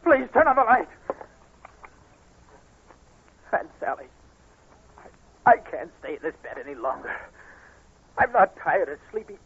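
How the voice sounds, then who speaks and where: A man's voice: high voice, big pitch swings, normal speed, husky, full character voice. An actor playing an anguished, restless blind man in a dramatic 1940s radio style, with brooding delivery. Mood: desperate, pleading. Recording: muffled, noisy 1940s radio recording.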